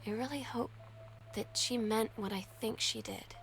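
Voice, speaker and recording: A woman's voice: a little high, fairly even intonation, normal speed, creaky, fairly bright tone, slight background noise. An actress playing an earnest, lovestruck teenage girl in a video game, confiding a secret romance as an intimate, hesitant diary narration. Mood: hopeful, anxious. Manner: confessional, hesitant, heartfelt. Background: music behind the voice.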